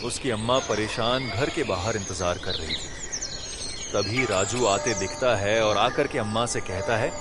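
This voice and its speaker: A man narrating a cartoon moral story in a measured storytelling manner. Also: fairly low pitch, heavy background noise.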